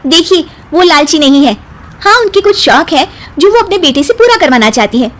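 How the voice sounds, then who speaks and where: A woman's voice: high-pitched, animated, a little fast. A woman voicing a short, witty young bride in a cartoon story, answering taunts with cheeky comebacks. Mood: firm. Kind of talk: cartoon voice acting.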